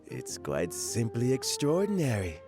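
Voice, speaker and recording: An actor playing a measured, appreciative food judge in an anime game, deliberate and savoring in manner. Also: male voice, medium pitch, huge pitch swings, over music. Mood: appreciative, thoughtful.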